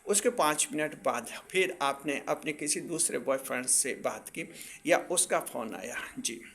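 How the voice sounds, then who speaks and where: A man's voice: mid-range voice, lively intonation, measured pace, a bit bright. A man presenting astrology advice to viewers in a didactic way, repeating and rephrasing his points for emphasis.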